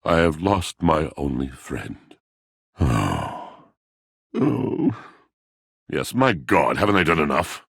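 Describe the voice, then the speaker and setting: An actor playing a brooding, melancholy washing machine in a video game, a wounded poet with a gravelly rumble and florid, pompous, theatrical delivery. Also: male voice, big pitch swings, slow pace, pauses often, a bit bright, no room sound, clean studio sound, heavily acted. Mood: anguished. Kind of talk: video game character voice acting.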